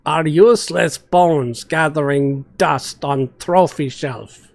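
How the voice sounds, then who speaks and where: A man voicing a grim, savage orc woman warrior in a fantasy story, rumbling in blunt, broken phrases. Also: slow, creaky. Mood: grim, resigned.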